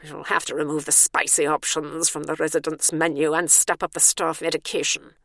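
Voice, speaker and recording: A woman's voice: deep voice, little pitch movement, brisk, fairly bright tone, no echo, studio quality. A woman playing a pompous bossy male warden. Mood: haughty. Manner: haughty, officious.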